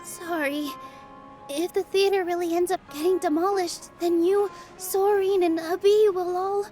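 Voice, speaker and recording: A woman playing a gentle, polite, earnest young female theater dancer in a video game. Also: very high voice, steady tone, a little slow, faint music behind. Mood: worried. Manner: polite, soft-hearted, earnest.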